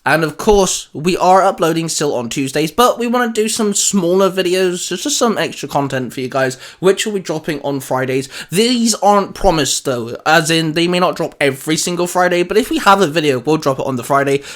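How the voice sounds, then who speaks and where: A man's voice: on the high side, animated, brisk, few pauses, fairly bright tone. A man co-hosting in a casual, geeky chat, talking in an enthusiastic, informal way. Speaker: co-host of a hobby video channel.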